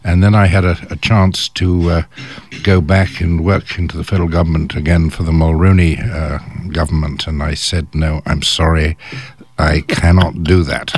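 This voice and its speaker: An older man from England with an English accent, a guest on a radio talk show, telling stories about his career with a chatty, hesitant manner. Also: low voice. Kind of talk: radio interview. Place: radio studio.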